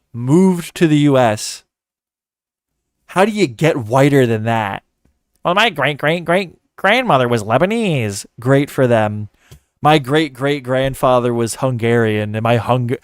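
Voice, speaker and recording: A man's voice: mid-range voice, animated, a little slow, clear, resonant tone, no echo, clean studio sound. A man giving mocking, profane commentary in a sarcastic, scornful way. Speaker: commentary host.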